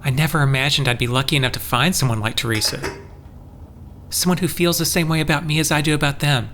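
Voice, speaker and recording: A man's voice: on the high side, fairly even intonation, fast, noisy background, lightly acted. A man playing an anxious, lovestruck character with an earnest, hesitant manner in an audio drama. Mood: earnest, uneasy. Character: lovestruck, anxious young man with anger problems.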